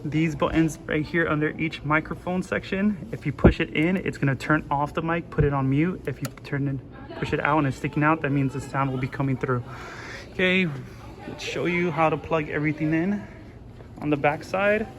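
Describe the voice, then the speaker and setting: A man's voice: on the high side, normal speed. A young man giving a casual hands-on tutorial in an informal, reassuring, plain-spoken way, recorded on a phone in a large indoor hall. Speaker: instructor. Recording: steady background noise, room ambience.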